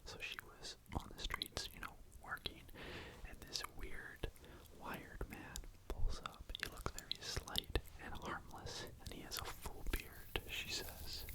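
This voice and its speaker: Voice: slow, poor audio. An ASMR host whispering a true crime story to the microphone in a casual, rambling way, often saying "like" and "you know.". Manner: soft unvoiced whisper throughout.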